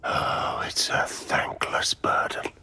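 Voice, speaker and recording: An actor playing a menacing, cynical villain in a video game cutscene, breathy and condescending. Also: male voice, sharp tone, no room sound, in a whisper. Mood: sardonic, weary contempt. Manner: slow, condescending.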